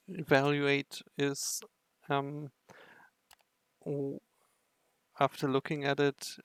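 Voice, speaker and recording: A man's voice: on the high side, gravelly, clear, resonant tone, no echo. A man giving casual playthrough commentary on a game, speaking in a hesitant way as he thinks aloud. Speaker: game video narrator and player.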